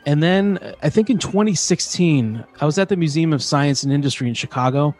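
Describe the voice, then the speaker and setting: A man's voice: a little high, expressive, brisk, darkish tone, faint music behind. A man hosting a podcast, telling stories in a casual, chatty and enthusiastic way. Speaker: podcast host. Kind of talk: casual conversational interview.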